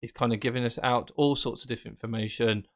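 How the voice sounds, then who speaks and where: A man presenting a screen-recorded software tutorial, explaining casually and thinking aloud with fillers. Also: medium pitch, fairly even intonation, fast. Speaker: tech presenter.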